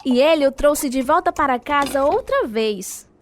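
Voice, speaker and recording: Casting: devout, long-suffering wife, high-pitched voice, Brazilian accent, radio drama. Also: female voice, expressive, moderate pace, slight background noise. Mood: relieved, tender.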